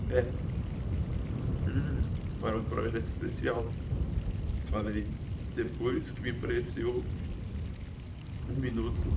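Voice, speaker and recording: A man narrates a first-person audiobook in an even, level voice, now and then tripping over a word and starting it again. He sits well back from the microphone, and a loud, steady noise runs under everything he says. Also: mid-range voice. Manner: reads with slips and restarts. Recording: distant mic, loud background noise.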